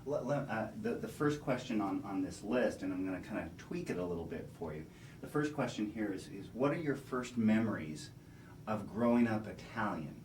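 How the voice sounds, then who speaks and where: A man asking questions in an oral history interview, halting and repeating words as he goes. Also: on the low side, expressive, darkish tone, roomy sound, distant mic, a little hiss or hum.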